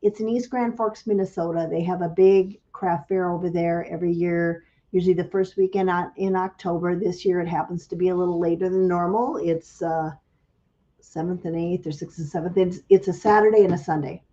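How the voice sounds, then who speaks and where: A woman hosting a casual livestream for her craft business, slightly raspy from a cold and greeting viewers by name. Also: lowish voice, steady tone, a little fast, dark tone, lo-fi sound.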